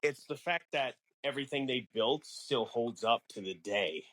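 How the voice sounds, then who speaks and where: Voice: mid-range voice, normal speed, a bit bright. A podcast co-host in casual fan discussion, musing and posing questions aloud.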